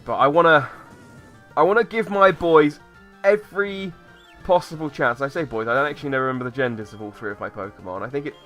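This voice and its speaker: A man with a slightly hoarse voice from a cold, rambling and thinking aloud as he plays through a game and talks to viewers. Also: a little high, brisk, fairly bright tone, soft background music. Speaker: let's play host. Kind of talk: casual gameplay commentary.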